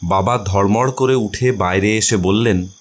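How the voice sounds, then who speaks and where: A man's voice: lowish voice, unhurried. A man narrating a short story as a scripted audio reading.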